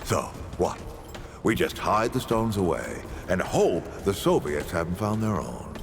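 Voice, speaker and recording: An actor playing a weary, reflective veteran founder and explorer in a video game, telling his story with deliberate drama. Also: low-pitched, big pitch swings, creaky, background noise, full character voice. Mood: grave, rueful.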